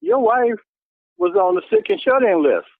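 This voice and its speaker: A man's voice: very high voice, wildly swooping pitch, normal speed, creaky, no echo. Indignant man on a tinny phone line. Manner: indignant, repeats himself insistently. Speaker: church deacon receiving a phone call. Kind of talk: heated phone argument.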